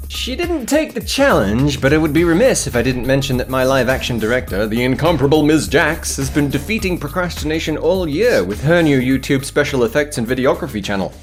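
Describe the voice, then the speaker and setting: A man hosting with scripted comedic narration, theatrical and mock-grand, full of playful flourishes. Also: mid-range voice. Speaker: host.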